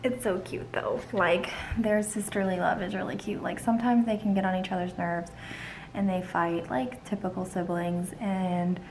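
A woman's voice: mid-range voice, steady tone, normal speed, a little mellow, a little hiss or hum, poor audio. A young woman, chatting casually and rambling to her phone camera in her home kitchen while tired. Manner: chatty and rambling, many ums and likes. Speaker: vlogger. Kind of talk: casual vlog chat. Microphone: phone held close.

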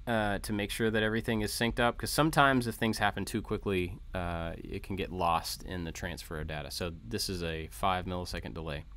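A man's voice: low-pitched, a little fast, few pauses, fairly bright tone. A man narrating an informal code walkthrough as a tutorial host, explaining things step by step. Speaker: host of a maker tutorial channel. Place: voice-over for a screen recording.

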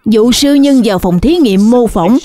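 A woman's voice: a little high, expressive, normal speed, dark tone, close to an everyday voice. A woman voicing a scheming, boastful male villain general in a dubbed children's cartoon, with a sly, goading manner. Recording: music under the voice. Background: music.

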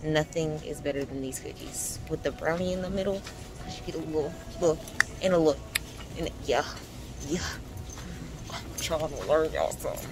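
A young adult American woman chatting casually to camera as she shops, informal and slangy, thinking out loud. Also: low-pitched, moderate pace, pauses often, background noise. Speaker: vlogger. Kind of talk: casual chat to camera while shopping. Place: indoors, large supermarket. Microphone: phone or camera at arm's length. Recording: music playing behind the voice. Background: loud music.

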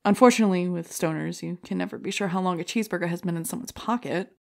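A woman's voice: lowish voice, fast, creaky, darkish tone. An actress playing a brash, self-deprecating female stand-up comic, delivering jokey rhetorical setups and punchlines in an audio drama. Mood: wry, joking. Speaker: comedy contest contestant.

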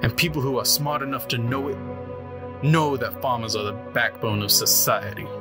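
A man playing a solemn pastor who delivers a measured, reverent funeral eulogy in an audio drama. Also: mid-range voice, natural voice. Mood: solemn, earnest. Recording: music behind the voice.

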